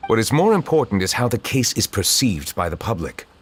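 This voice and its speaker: An actor playing a stern, formal chief justice in a video game, speaking in a measured, grave manner. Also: male voice, on the low side, big pitch swings, a little hiss or hum. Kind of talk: game voice acting.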